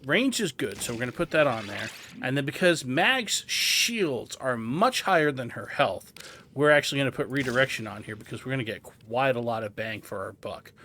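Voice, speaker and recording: A man narrates a game tutorial in a bright, forward voice at a middling pitch. He is methodical and explains things step by step. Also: slight background noise. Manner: methodical, instructional. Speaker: game guide host.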